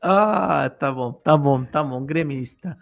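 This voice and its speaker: A man's voice: a little high, slow, gravelly, soft background music. A man hosting a radio interview who hesitates with many "eh" fillers and shifts between Spanish and Portuguese. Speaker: radio show co-host.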